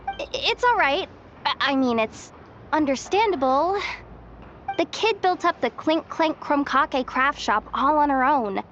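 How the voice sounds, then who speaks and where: High-voiced streetwise young informant. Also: female voice, animated, unhurried, fairly bright tone, highs cut off, a little hiss or hum. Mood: conciliatory. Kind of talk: video game voice acting. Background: game music.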